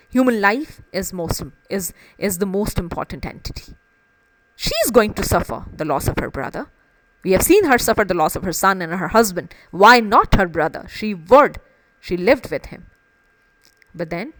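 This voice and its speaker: A woman's voice: mid-range voice, rough recording. A woman literature teacher explaining a play in an emphatic way, often repeating and rephrasing phrases to stress them.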